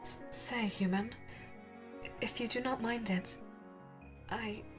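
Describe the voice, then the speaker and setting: A woman voicing a regal, gentle alien gem woman with formal, tender phrasing in an ASMR audio roleplay. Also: a little high, steady tone, takes it slowly, music in the background. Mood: shy, tender.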